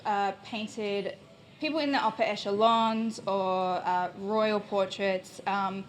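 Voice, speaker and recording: A woman's voice: medium pitch, fairly even intonation, takes it slowly. Young woman from New Zealand, painter guest, reflective and hesitant, faint room hum, handheld mic in a gallery. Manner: reflective, hesitant, thinking aloud. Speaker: painter, invited artist. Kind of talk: live public conversation. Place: gallery space with an audience. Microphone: handheld microphone.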